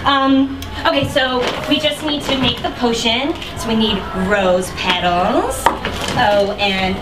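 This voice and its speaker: Casting: high-pitched young witch, ditzy and eager, bubbly halting delivery, distant stage sound acted by a woman. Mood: bubbly, busy. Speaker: character comedian. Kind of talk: live character sketch comedy. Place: small theater stage. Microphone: far camera. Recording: noisy, echoey theater, far from mic.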